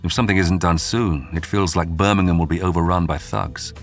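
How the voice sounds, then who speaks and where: Male narrator, low pitch, scripted true-crime documentary, measured dramatic delivery, soft music bed. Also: fairly even intonation.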